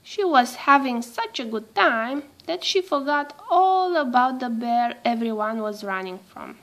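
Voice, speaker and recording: High-voiced woman reading a children's story slowly. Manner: expressive, measured storytelling for children. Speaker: storybook reader. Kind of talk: read-aloud children's storytelling.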